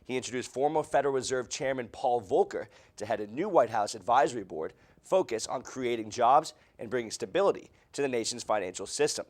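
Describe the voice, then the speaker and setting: A man's voice: mid-range voice, expressive, a little fast, few pauses. Young American man reading the news. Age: young adult. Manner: formal newscaster delivery. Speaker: student TV news reporter and anchor. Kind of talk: scripted news narration.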